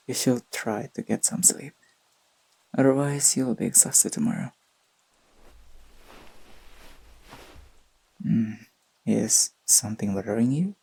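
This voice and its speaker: A performer voicing a gentle, teasing young boyfriend in an intimate sleep-aid roleplay, speaking softly and tenderly with a breathy, slightly husky voice. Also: mid-range voice, moderate pace, long pauses, poor audio. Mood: gentle, reassuring. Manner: tender, playful, murmured delivery.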